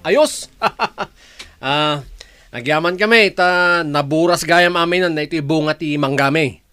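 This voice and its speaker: A man's voice: a little high, takes it slowly, pushed and bright, clear, resonant tone, faint music behind. A man with an Ilocano accent playing a scheming, cheerful village husband in a radio comedy drama.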